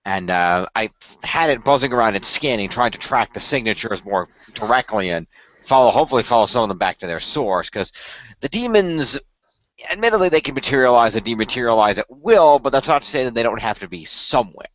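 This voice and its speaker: A man playing an earnest tech expert in an amateur radio play, explaining things in a wordy, halting, technical way. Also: mid-range voice, expressive, a bit bright, no echo, lightly acted. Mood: concerned, explanatory. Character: earnest male tech expert of a hero team, wordy planner. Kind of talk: amateur radio play, improvised-sounding dialogue. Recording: dull lo-fi voice recording.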